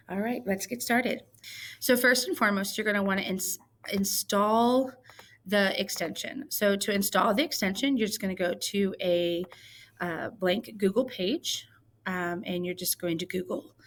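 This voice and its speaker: Chatty woman teacher explaining a tool. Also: medium pitch. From Missouri, United States. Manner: chatty, explanatory, with frequent fillers. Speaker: ELL coordinator and teacher. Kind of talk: screen-recorded tutorial.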